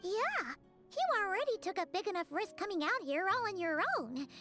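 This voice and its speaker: A tiny chirpy fairy girl from a fantasy game, with a very high, bright and lively voice. She teases and pries cheerfully, calling herself by name, with soft game music under her. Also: normal speed, exaggerated voice. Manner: bubbly, teasing, talks about herself in third person. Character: tiny floating girl companion, chirpy and nosy. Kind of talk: game dialogue.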